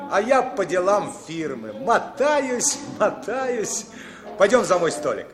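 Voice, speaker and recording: A breezy, familiar businessman in a vintage radio drama. His voice is high and swooping, exclamatory, in a roomy space. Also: big pitch swings, takes it slowly, music in the background, exaggerated voice. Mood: effusive, surprised. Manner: exclamatory, familiar, repeats words. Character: effusive, breezy businessman greeting an old friend abroad. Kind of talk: 1960s Soviet radio play acting.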